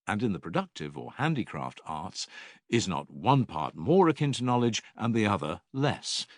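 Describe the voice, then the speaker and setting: An actor playing an older philosopher in an audiobook dialogue, a patient, probing teacher with measured, rhetorical and emphatic delivery. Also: male voice, lowish voice, very animated, no room sound, studio quality. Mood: explanatory.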